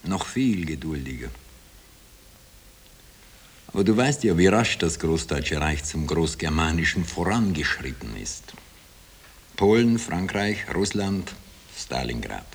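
A man's voice: low-pitched, animated, measured pace, pauses often, slight background noise. An actor narrating a radio drama as a wry Austrian everyman, confiding his story with self-deprecating irony. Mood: wry, resigned. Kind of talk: first-person narration in 1960 radio drama.

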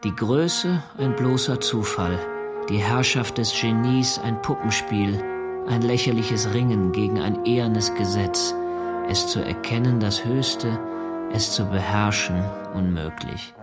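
An actor playing a brooding revolutionary writer, reciting literary letters in an experimental radio play. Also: male voice, fairly low pitch, a little slow, natural voice. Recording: music mixed loudly over the voice. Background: loud brass music.

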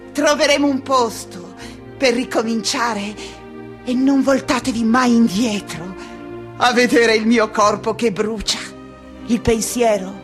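High-voiced, impassioned woman scholar in radio drama. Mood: solemn, defiant. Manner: halting, repeating words, impassioned, dramatic. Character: frightened, defiant woman scholar and teacher facing her killers. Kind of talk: theatrical radio drama monologue. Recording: loud music behind the voice.

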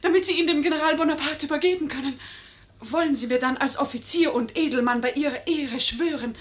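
A woman's voice: high voice, brisk, lightly acted. An actress playing a clever, coaxing young lady in a 1950s German radio play, theatrical and persuasive. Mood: pleading.

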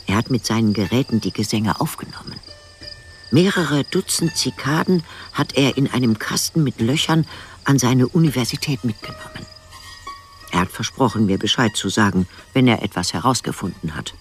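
Deep-voiced old village woman, lively intonation. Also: moderate pace, faint music behind. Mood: reflective. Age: older. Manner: matter-of-fact, dryly blunt. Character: old village woman, plainspoken, wry and caring. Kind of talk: radio drama first-person narration and dialogue.

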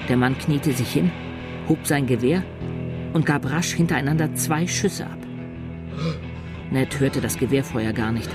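Ein Mann als Erzähler eines Hörspiels, der dramatisch einen geschriebenen Text in der dritten Person vorträgt. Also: male voice, medium pitch, a little slow, pauses often. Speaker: radio drama narrator. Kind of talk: dramatic scripted third-person narration. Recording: loud plucked guitar music behind.

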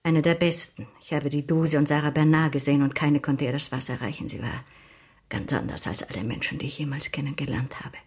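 An actress playing a proud, blunt, melancholy older spinster in a radio drama, speaking in a formal, measured way. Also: female voice, deep voice, steady tone, husky. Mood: melancholy, reflective.

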